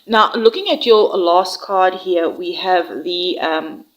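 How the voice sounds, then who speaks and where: A woman with a slightly low voice gives a tarot reading. She sounds nasal and congested from a flu. She talks gently and reassuringly, often trailing off and restarting. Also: takes it slowly, low-quality recording. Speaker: tarot reader.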